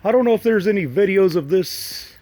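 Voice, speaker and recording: A man with a slightly froggy voice from allergies, giving halting, musing, folksy commentary as he walks around exploring with a handheld camera. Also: high voice, lively intonation, warm tone. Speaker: urban exploration video maker. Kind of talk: casual unscripted walk-through commentary. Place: walking around an abandoned park site.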